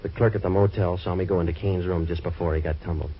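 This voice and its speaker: An American actor playing a tough, wisecracking waterfront troubleshooter in a 1940s radio noir, with clipped deadpan delivery. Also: male voice, sits low, fast talker, a little hiss or hum, close to an everyday voice. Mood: dry, pressing. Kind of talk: 1940s radio noir acting and first-person narration. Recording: dull old radio recording, faint music.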